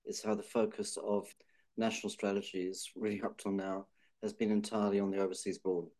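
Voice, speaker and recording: Male, deep and mellow, thoughtful hedging discussion speaker. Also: flat delivery, brisk. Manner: thoughtful, hedging with fillers and restarts. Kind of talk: policy discussion.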